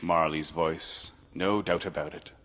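A man reads a classic tale as a dramatic narrator. His voice is very low yet forceful, measured and emphatic. It rings in a long reverb. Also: steady tone. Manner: theatrical, measured, emphatic storytelling. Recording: long reverb, music underneath.

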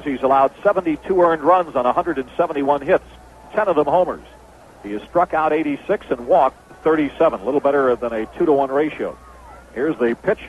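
Thin old-radio baseball announcer, higher-pitched man. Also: phone-quality sound, a little hiss or hum. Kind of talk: radio sports commentary.

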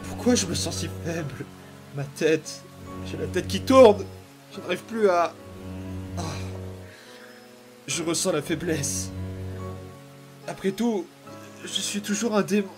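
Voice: mid-range voice, slow pace, long pauses, over music, natural voice. An actor voicing a vicious, obsessive young demon boy in a dramatic comic dub, taunting and theatrical. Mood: weary, troubled. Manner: menacing, taunting, theatrical outbursts and mutters.

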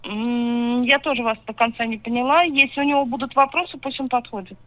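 A woman's voice: a little high, fairly even intonation, brisk, no echo. A woman schoolteacher on a phone call, speaking politely in a guarded, repetitive, matter-of-fact way. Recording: narrow tinny phone line.

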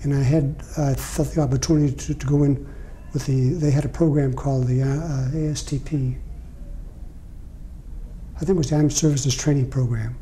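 Older man in his 70s, deep dark tone, halting delivery, light hiss behind. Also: steady tone, poor audio. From Portugal. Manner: hesitant, many fillers and restarts. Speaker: interviewee, veteran. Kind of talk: oral history interview. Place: library room.

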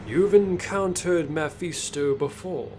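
A man's voice: on the high side, takes it slowly, over loud music. A man playing a stern, imperious sorcerer in a comic book dub, speaking in a grave, formal, commanding manner. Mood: cautious.

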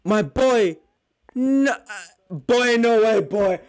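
A young man chatting loudly while gaming, laughing, repeating himself and swearing a lot. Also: high voice, drawn-out words, clear, resonant tone. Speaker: vlogger. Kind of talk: rowdy casual gaming chat.

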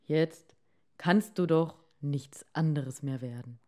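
Storybook fisherman, played by a female reader: meek henpecked husband, slow, hesitant, pleading. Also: low-pitched, a little mellow, voice pushed down. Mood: subdued awe.

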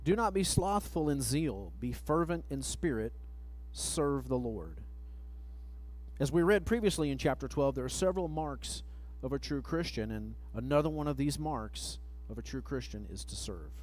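A middle-aged man preaching a sermon at a church pulpit mic, reading scripture slowly and letting phrase ends trail off. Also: mid-range voice, animated, darkish tone, rough recording. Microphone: pulpit microphone, far camera.

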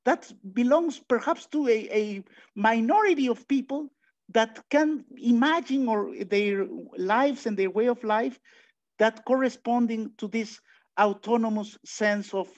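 A man's voice: extremely high pitch, unhurried. Mexican-accented man lecturing over video call. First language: Spanish. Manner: thinking aloud, frequent restarts and filler sounds, animated asides. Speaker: philosophy lecturer. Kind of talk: online lecture. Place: home room, indoors. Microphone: video call webcam. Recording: dull lo-fi video call audio.

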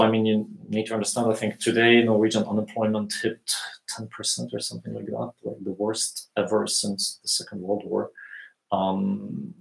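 A man in his thirties answering viewers' questions in a relaxed live lesson, pausing often with fillers, on a webcam in a small room. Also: fairly low pitch, gravelly. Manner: relaxed, thinking aloud with many fillers. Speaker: language teacher. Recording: echoey room.

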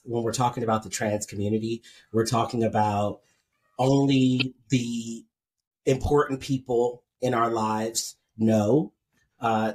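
A man in his thirties hosts a casual podcast panel at home. He talks in a relaxed, chatty way, laughing easily and stopping to explain terms for the listeners. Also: fairly low pitch. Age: 30s. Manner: laid-back, laughing, explaining. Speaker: podcast host.

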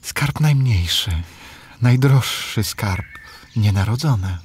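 A man's voice: mid-range voice, lively intonation, slow pace, a little hiss or hum. An actor playing a proud, loving village father in a children's radio play, speaking in tender rhymed verse.

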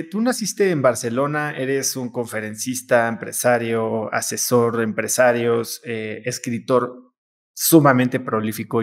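A man's voice: medium pitch, gravelly. Upbeat man hosting a podcast. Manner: upbeat, polite interviewer asking open questions. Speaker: podcast host. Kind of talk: interview podcast with sponsor reads. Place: small room with plain wall. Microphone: close studio mic with headphones.

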